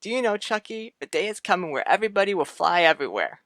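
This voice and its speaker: An actor playing a breezy, jovial uncle in a vintage radio soap, talking in a casual, folksy way. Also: male voice, high voice, very animated, quick pace, a bit bright, no echo, clean studio sound, heavily acted.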